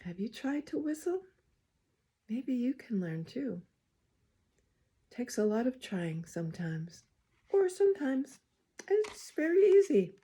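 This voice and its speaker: A woman reading a picture book aloud to young children, expressive and gently pausing to speak to her listeners. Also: mid-range voice, slight background noise. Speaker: storybook reader, school well-being coordinator.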